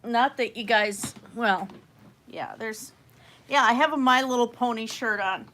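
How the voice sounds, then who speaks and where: A young woman speaks slowly into a desk mic at home, her voice stuffy from a slight cold. She rambles in a loose, chatty way as the host of her own livestream. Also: a little high, fairly bright tone, slight background noise. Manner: rambling, chatty, laughs often. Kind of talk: casual livestream chat.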